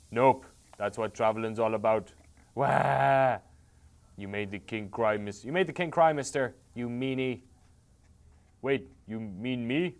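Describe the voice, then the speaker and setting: Sarcastic Irish man voicing game dialogue. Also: mid-range voice. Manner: sarcastic, swears and jokes between read lines. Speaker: host playing a game. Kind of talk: reading a game's dialogue aloud with commentary.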